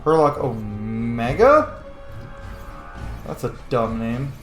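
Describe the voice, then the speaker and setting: A man's voice: mid-range voice, lively intonation, takes it slowly, a bit bright, faint music behind. A man giving casual, blunt and heavily profane commentary while playing a role-playing game. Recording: roomy echo, faint background noise.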